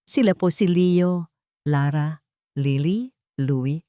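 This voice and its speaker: A woman's voice: deep voice, slow pace, dark tone, no room sound, clean studio sound. A woman with a Filipino accent voicing a devoted, deferential young housemaid in an audiobook drama, pleading and emotional. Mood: earnest.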